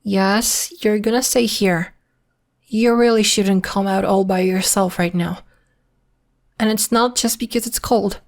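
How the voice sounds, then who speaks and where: A woman playing a secretive, polite and protective young werewolf in an ASMR roleplay, speaking in a measured, hesitant, matter-of-fact way. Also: medium pitch, fairly even intonation, natural voice. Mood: concerned, mildly reproving.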